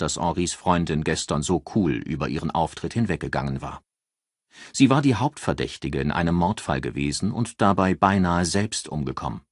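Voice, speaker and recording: A man reading an audiobook as narrator, with expressive scripted delivery. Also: deep voice, dry recording, studio quality.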